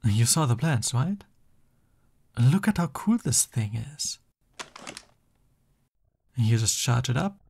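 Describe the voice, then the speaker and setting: A man acts out a boastful young male supervillain who is also a bashful, loving boyfriend in an ASMR roleplay. His mid-pitched voice has a gravelly creak and takes its time, moving between grand theatrics and flustered affection. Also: sing-song, takes it slowly, pauses often, darkish tone, slight background noise, full character voice. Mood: eager, boastful.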